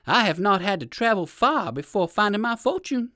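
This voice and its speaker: A woman voicing a polite, curious old gentleman rabbit in a children's storybook, with courteous old-fashioned manners. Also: medium pitch, big pitch swings, normal speed, no echo, clean studio sound, pitched above the performer's own voice. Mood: eager, curious. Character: polite, eager elderly gentleman rabbit with rheumatism.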